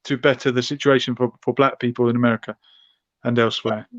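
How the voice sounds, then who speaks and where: A man's voice: medium pitch, steady tone, quick pace, no room sound. A man hosting a live discussion, asking questions in a conversational interviewing style through a dull, muffled microphone. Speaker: host of a live online discussion.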